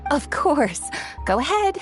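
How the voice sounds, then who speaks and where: A friendly, composed woman running a clothing shop in a video game. Her fairly high voice moves in lively swings, with soft music behind it. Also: takes it slowly, exaggerated voice. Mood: welcoming.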